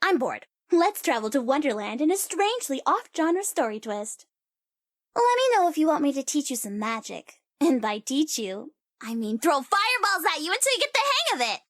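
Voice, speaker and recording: High-pitched, cocky sorceress. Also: female voice, very animated, normal speed, a bit bright, no room sound, clean studio sound. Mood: teasing. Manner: theatrical, teasing, swinging into wry asides. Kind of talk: video game character voice lines.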